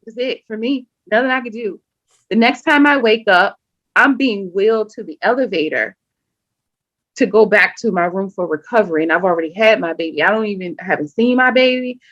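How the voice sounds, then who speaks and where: A woman guest on a podcast, telling a personal story in an animated, emphatic way and often acting out what people said. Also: medium pitch, brisk, no room sound. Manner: animated, emphatic, acts out quoted speech. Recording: dull lo-fi sound.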